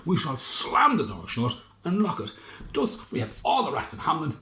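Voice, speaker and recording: A man voicing a proud, self-assured male inventor in a children's story, explaining his plan slowly. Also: fairly high pitch, wildly swooping pitch, bright, forceful tone, pitched above the performer's own voice, exaggerated voice. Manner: deliberate, self-assured, drawn out. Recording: roomy with faint noise.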